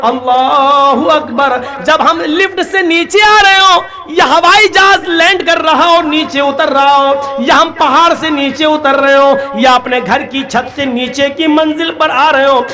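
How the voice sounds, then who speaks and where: High-voiced man preaching with fervor. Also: steady tone, over music. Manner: impassioned, often shouting, recites Arabic prayers slowly. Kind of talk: Islamic sermon.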